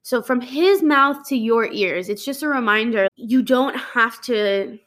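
A woman's voice: a little high, expressive, measured pace, a bit bright. Chatty young woman podcast host. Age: 20s. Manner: chatty, upbeat, rambling with fillers and laughs. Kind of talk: casual solo podcast talk. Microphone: studio microphone.

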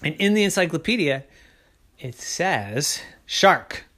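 A man's voice: fairly high pitch, very animated, takes it slowly, pushed and bright, heavily acted. Man playing a gloating, hungry storybook shark. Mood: smug. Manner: gloating, savouring words.

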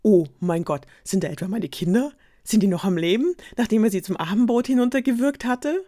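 A worried storybook mother goat speaks up loudly and urgently, a little higher than the reader's own voice, as a woman plays her. The sound is dry and close. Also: a little fast, husky, no room sound. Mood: alarmed. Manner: alarmed, urgent. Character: anxious mother goat, caring and frantic.

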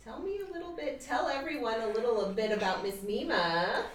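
A woman's voice: on the high side, animated, normal speed, roomy sound. A woman hosting a casual interview in an office, encouraging her guest with many short affirmations.

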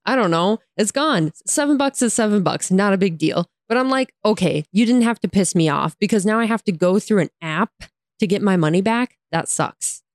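A woman with an American accent and a hoarse, raspy voice, casually telling a story in slangy, sarcastic, exasperated style and mimicking dialogue. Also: mid-range voice, lively intonation, moderate pace, no echo, clean studio sound. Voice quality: raspy, tired voice. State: hoarse from talking all day. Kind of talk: casual chat, animated storytelling.

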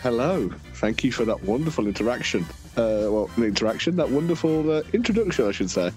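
A young man co-hosting a podcast in casual chat, relaxed and hesitant, repeating words and filling pauses. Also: mid-range voice, soft background music. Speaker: podcast co-host. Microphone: home mic without headphones.

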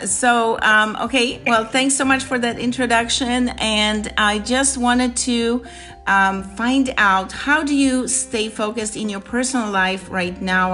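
A woman's voice: mid-range voice, bright, forceful tone. A woman from Poland hosting a podcast, interviewing a guest with upbeat enthusiasm. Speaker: podcast host.

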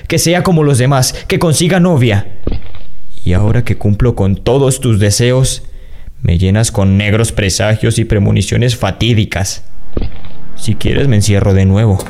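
A man's voice: fairly low pitch, moderate pace, warm tone, faint music behind, lightly acted. A man playing a lovestruck, exasperated young heir in a radio drama, earnest and pleading in his delivery. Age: young adult.

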